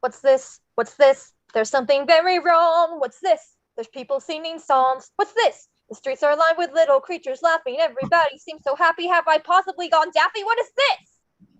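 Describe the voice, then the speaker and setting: A woman playing an excitable, wonderstruck male skeleton in a table read of a musical, with theatrical, rhyming exclamations. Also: extremely high pitch, normal speed, no echo, lo-fi sound. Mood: amazed, excited.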